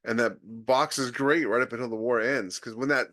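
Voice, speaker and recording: A man's voice: medium pitch, fairly even intonation, brisk, fairly bright tone, no echo. Opinionated man, dry close sound. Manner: opinionated and emphatic. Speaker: podcast co-host. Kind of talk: casual panel discussion.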